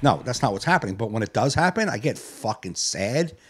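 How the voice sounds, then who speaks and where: Husky-voiced man in his late forties. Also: mid-range voice, very animated, brisk, fairly bright tone. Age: 40s. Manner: rambling, profane, reflective. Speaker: podcast host. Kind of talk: casual chat.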